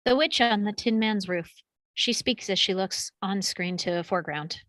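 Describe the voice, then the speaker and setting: A woman narrates screenplay action at a table read. Her voice sits at a middle pitch and is recorded close and dry, with no room around it. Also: normal speed, fairly bright tone, dry recording. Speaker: reader of stage directions.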